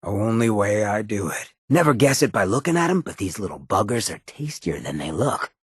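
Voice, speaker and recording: An actor playing a roguish, sardonic but loyal ghoul outlaw in a video game, with a gravelly rasp and a cocky, laid-back street drawl. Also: male voice, on the low side, sing-song, moderate pace, dry recording, clean studio sound, full character voice. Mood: wry, playful. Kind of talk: video game companion dialogue.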